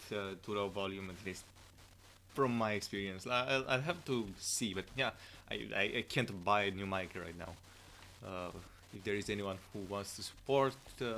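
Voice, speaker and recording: Man, bright tense voice, low-ish pitch, halting with fillers, cheap mic, faint static. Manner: hesitant, many fillers and restarts. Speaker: streamer reacting to a stream. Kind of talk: casual live-stream commentary. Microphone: cheap low-volume mic.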